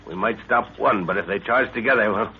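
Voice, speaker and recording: An actor in an old radio drama playing a grave, middle-aged professor on safari, musing and authoritative. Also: male voice, on the low side, brisk, slightly rough. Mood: worried, steady. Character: learned professor on safari, grave, measured father. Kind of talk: 1930s radio drama acting. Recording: hissy, tinny 1930s radio recording.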